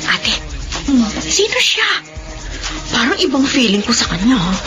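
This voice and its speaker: A woman playing a nagging, teasing, excitable younger sister in a radio drama. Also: on the high side, a little slow, over music.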